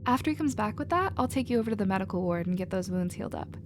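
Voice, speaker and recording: A woman playing a protective, nurturing female alien with a casual, expressive manner in a sci-fi ASMR roleplay. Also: medium pitch, very fast, noisy background, close to an everyday voice. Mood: tender, bubbly.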